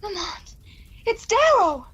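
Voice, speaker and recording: A woman's voice: very high voice, big pitch swings, slow pace, a bit bright, highs cut off, heavily acted. A woman playing an anxious young female companion in old-time radio drama, with a melodramatic delivery. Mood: alarmed. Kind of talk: 1940s radio drama acting.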